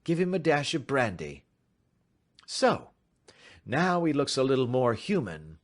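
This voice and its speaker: A man voicing a sharp, self-assured gentleman detective in an audiobook, speaking in a crisp, measured, gently ironic way. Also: mid-range voice, very animated, pitched above the performer's own voice. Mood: confident. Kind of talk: audiobook reading.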